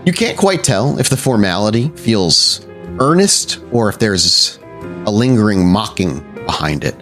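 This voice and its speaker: A man narrating a tabletop role-playing game as storyteller, with dramatic, deliberate descriptive phrasing. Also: on the low side, animated. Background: soft background music.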